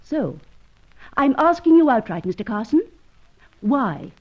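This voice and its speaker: A woman's voice: fairly high pitch, very animated, unhurried, dull audio. An actress playing a cool, haughty, guarded woman in a radio drama, speaking formally and precisely. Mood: suspicious, pressing. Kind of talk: old-time radio drama acting.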